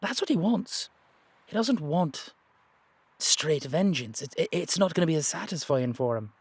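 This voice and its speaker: A man acting a shrewd, streetwise investigator in an audio drama, speaking deliberately and persuasively in halting, emphatic phrases. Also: fairly high pitch, expressive, breathy edge, no echo, exaggerated voice. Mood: insistent. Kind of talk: dramatic audio roleplay dialogue.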